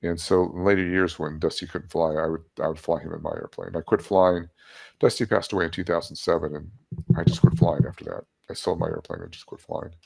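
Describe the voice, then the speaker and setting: A man's voice: sits low, level tone, brisk, vocal fry, a little mellow, husky. A man in his seventies, a guest casually telling stories about his life and laughing at his own jokes. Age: 70s. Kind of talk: casual storytelling interview.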